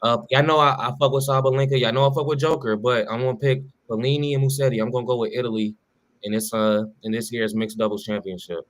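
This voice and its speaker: A man's voice: medium pitch, steady tone, fast talker. A man co-hosting a casual sports talk show, talking loosely in slang with lots of fillers and restarts. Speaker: podcast co-host.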